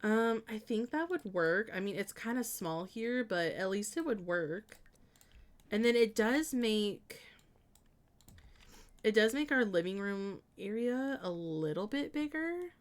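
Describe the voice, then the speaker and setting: A woman's voice: mid-range voice, animated, measured pace. Woman thinking aloud while streaming. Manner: thinking aloud, hesitant and meandering, with frequent trailing restarts; chatty and friendly when greeting and answering viewers. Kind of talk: casual live-stream chat while building in a life-sim game.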